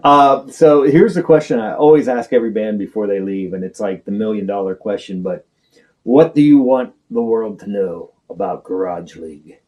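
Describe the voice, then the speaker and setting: A man's voice: on the low side, moderate pace, a little mellow. An American man hosting a casual, chatty interview with a band. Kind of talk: casual chat.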